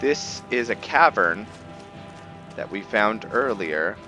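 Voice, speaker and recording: A man giving casual let's-play commentary on a video game, thinking aloud in a halting way and trailing off mid-phrase. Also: lowish voice, expressive. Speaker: gaming host narrating a playthrough. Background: game music behind the voice.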